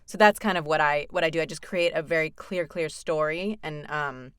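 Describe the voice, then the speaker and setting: A woman's voice: low-pitched, moderate pace, clear, resonant tone, no echo, studio quality. A woman voice actor, talking casually as an interview guest, chatty with frequent fillers.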